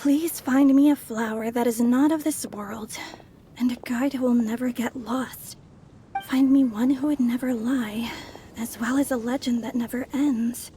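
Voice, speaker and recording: A woman's voice: fairly high pitch, steady tone, a little slow, mellow, a little hiss or hum, lightly acted. A woman playing a polite, poised young traveler in an anime-style game, courteous and composed. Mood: hushed, solemn.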